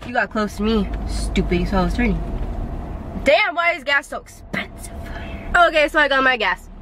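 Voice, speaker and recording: A woman's voice: on the high side, animated, normal speed, noisy background. A young woman filming a casual vlog while driving, talking animatedly and scolding other drivers in mock road rage, recorded inside a car. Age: young adult. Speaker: vlogger. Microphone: dashboard camera mic.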